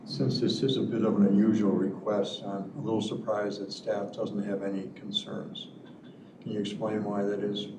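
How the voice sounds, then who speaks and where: A man serving as hearing officer, asking deliberate, probing questions at a zoning hearing. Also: lowish voice, little pitch movement. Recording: echoey room, distant mic, noisy.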